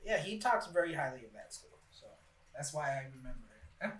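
A person of twenty-nine, interviewing a guest in a relaxed, casual chat with follow-up questions. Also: mid-range voice, a little fast. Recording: roomy sound from a distant mic.

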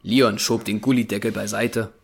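A man's voice: lowish voice, flat delivery, normal speed, gravelly, breathy edge. A man reading scripted narration for an audio drama. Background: music.

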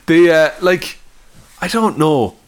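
A man's voice: on the high side, expressive, takes it slowly. A man co-hosting a podcast with casual comic banter, playfully riffing and chuckling as he talks. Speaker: podcast co-host.

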